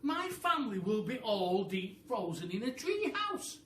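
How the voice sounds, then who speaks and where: A man voicing an excitable, anxious monkey father in a children's story, exclaiming and questioning. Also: high-pitched, unhurried, pitched above the performer's own voice, heavily acted. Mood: curious, worried. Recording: roomy, noisy, distant microphone.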